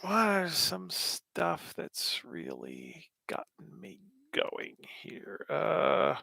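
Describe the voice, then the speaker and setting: A man's voice: fairly high pitch, wildly swooping pitch, drawn-out words, gravelly, bright, forceful tone, no room sound, in a whisper. An American man in his thirties hosting a casual livestream, answering chat questions in a rambling, wry way with lots of fillers. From United States.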